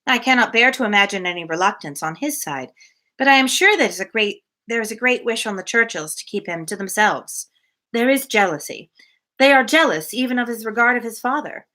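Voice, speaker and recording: Woman reading as a bright-voiced, anxious married gentlewoman. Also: a little high, lively intonation, brisk. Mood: apprehensive.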